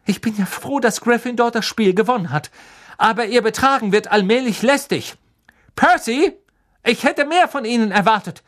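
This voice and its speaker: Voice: medium pitch, big pitch swings, moderate pace, husky. A reader voicing a strict older female professor in a storybook, scolding and indignant. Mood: stern.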